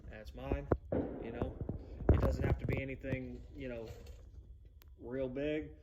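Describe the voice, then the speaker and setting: A man's voice: mid-range voice, level tone, a little slow, dull audio, very noisy. A man with a Boston accent giving a casual, chatty how-to demonstration in his home workshop, with loose folksy asides. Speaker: DIY woodworking channel host.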